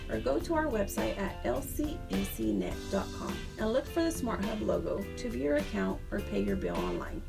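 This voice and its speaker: Very creaky female announcer over music. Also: fairly high pitch. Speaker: commercial announcer. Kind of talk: scripted utility promo narration. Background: clear music bed.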